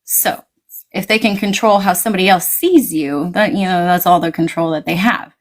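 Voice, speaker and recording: A woman guest in casual conversation, talking animatedly, swinging her pitch up and quoting her own reactions. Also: moderate pace.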